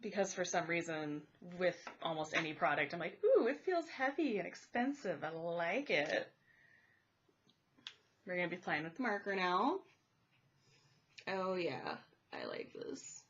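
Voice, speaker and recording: A woman who is congested and a little raspy, chatting casually about art supplies while she draws at home. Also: on the low side, sing-song, measured pace, pauses often, muffled recording, slight background noise. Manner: chatty, thinking aloud while drawing. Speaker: art vlogger. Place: home, indoors at a table. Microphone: camera overhead pointed at the paper. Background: furnace and cat noises.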